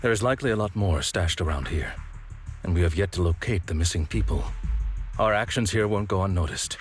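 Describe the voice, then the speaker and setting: Game role: deep-voiced, dutiful stoic, formal diction, serious, faint music underneath. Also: male voice, lively intonation, brisk. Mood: grave, composed. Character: stoic, dutiful, courteous male agent. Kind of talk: video game voice acting.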